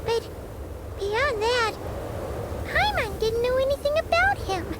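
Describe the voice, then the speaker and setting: A woman voicing a small, childlike female fairy companion in a video game, with a squeaky voice and a chatty manner. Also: sing-song, takes it slowly, very noisy, heavily acted. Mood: thoughtful, puzzled. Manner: speaks of herself in third person. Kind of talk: video game character dialogue.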